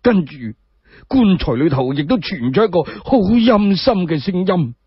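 A man voicing a frail, sorrowful old sage in Cantonese for a radio drama, drawn-out and halting. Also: high voice, animated, husky, dry recording, highs cut off, full character voice. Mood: troubled, fearful. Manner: drawn-out, halting, repeating words.

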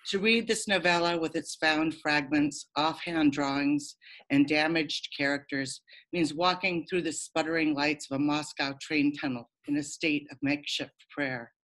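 A woman editor reading a prepared introduction of an author on a video call, upbeat and warm. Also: deep voice, fairly even intonation, moderate pace, rough recording.

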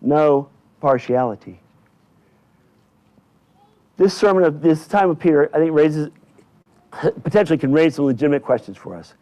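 A male pastor delivering a church sermon in deliberate, emphatic short phrases with repetition. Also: fairly high pitch, darkish tone. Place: indoors in a church at a lectern. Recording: dull, slightly lo-fi church recording.

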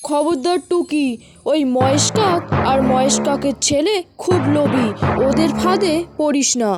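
A woman's voice: high voice, unhurried. A woman voicing a strict, indignant father bird in a children's cartoon, agitated and scolding. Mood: angry, scolding. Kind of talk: children's cartoon story voice acting. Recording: music behind the voice.

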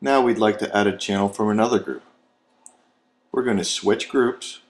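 A man's voice: lowish voice, fairly even intonation, normal speed. An American man narrating a scripted training video as an instructor, in a methodical, step-by-step manner.

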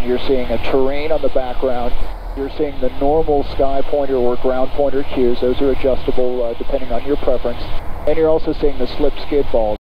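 A man in his fifties walks through cockpit instruments in a calm, methodical way. He talks through a headset in flight, so the sound is muffled and dull. A steady engine roar sits clearly under his voice. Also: medium pitch. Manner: technical, methodical, explanatory. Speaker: aviation magazine editor. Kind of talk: in-flight product review narration. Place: small aircraft cockpit in flight. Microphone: headset boom mic. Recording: muffled intercom audio with engine noise.

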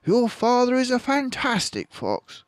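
A man voicing a gentle, frightened mother fox in a children's storybook reading. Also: wildly swooping pitch, measured pace, no room sound, clean studio sound, full character voice. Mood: proud. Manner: high falsetto, trembling. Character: anxious mother vixen, gentle and tearful.

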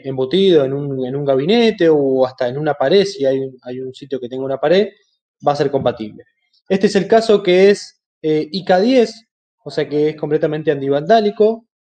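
A middle-aged man with an Argentine Spanish accent explaining products in a webinar, in a halting, explanatory way. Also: fairly high pitch, warm tone. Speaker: webinar presenter. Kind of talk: product presentation over slides.

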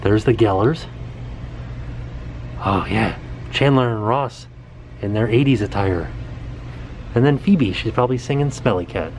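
A man in his forties excitedly pointing things out as he walks through a small indoor set, filmed on a handheld camera at arm's length. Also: on the low side, measured pace, long pauses, slight background noise. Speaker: vlogger. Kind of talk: casual walkthrough vlog. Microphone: camera held at arm's length.

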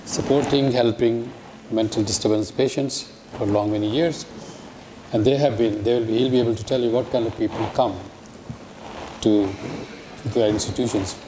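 A man's voice: mid-range voice, steady tone, normal speed, pauses often, noisy background. An older man with a Pakistani accent, speaking as a panelist in a lecture hall, listing his points one by one with frequent restarts. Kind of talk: panel talk. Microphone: podium microphone.

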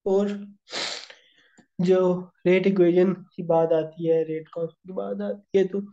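A man's voice: high-pitched, mellow, lo-fi sound. A man teaching chemistry over an on-screen worksheet, working through problems step by step. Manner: explains step by step, addresses students as beta, mixes English terms into Hindi. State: slightly sore throat. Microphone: voice-over on screen recording.

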